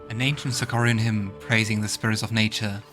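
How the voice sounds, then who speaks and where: Lower-voiced man doing game commentary. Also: level tone, brisk, music in the background. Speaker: let's play host. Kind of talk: game commentary and reading game text aloud.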